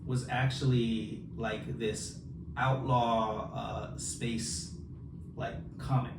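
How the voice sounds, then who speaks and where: A man co-hosting a podcast, talking casually in a halting, agreeable way. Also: fairly low pitch, flat delivery. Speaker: podcast co-host. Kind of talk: casual chat. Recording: echoey room, distant mic, clear noise.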